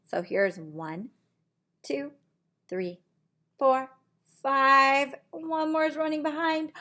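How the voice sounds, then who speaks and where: A middle-aged woman reading a picture book to young children in a deliberate, sing-song way, with simple asides, recorded in a room at home. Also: sits high, sharp tone. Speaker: preschool teacher reading aloud. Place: small indoor room at home.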